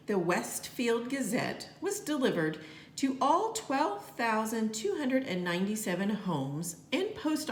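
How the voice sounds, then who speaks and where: A woman reading a children's storybook aloud in a deliberate, expressive storytelling manner. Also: medium pitch. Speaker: teacher reading aloud to students. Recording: roomy, echoey home-style recording.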